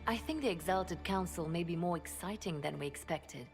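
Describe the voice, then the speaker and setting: A woman plays a calm, exact spymaster with a lower voice, never hurried, reporting facts with quiet control. Soft game music lies faintly under her. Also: natural voice. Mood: composed. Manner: measured, composed, precise. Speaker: advisor. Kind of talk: video game cutscene dialogue.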